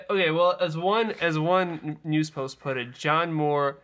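A man's voice: fairly high pitch, steady tone, slow, a bit bright. A man hosting a podcast, chatting casually about movie news in a wry, sarcastic manner. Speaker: podcast host.

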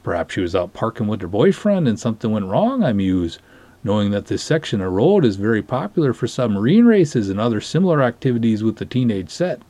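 A man reads a story dramatically, with a formal, theatrical delivery. His voice is husky and moves widely in pitch, in a very dry recording. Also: big pitch swings, fairly quick, mellow, no echo. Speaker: podcast host reading a short story aloud. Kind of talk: dramatic reading of narrated dialogue.

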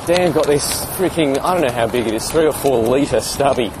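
A man's voice: medium pitch, moderate pace. A man with an Australian accent rambling casually in an audio diary, blokey and informal with some mild swearing. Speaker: podcast host. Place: outdoors on an urban street. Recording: noisy outdoor recording with vehicle sounds.

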